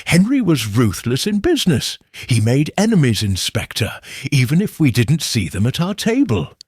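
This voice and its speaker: Cool, calculating lady, performed by a male narrator, careful and measured, faintly edged, varied intonation, dry sound. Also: medium pitch, wildly swooping pitch, a little mellow, husky, no echo. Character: cool, calculating upper-class woman.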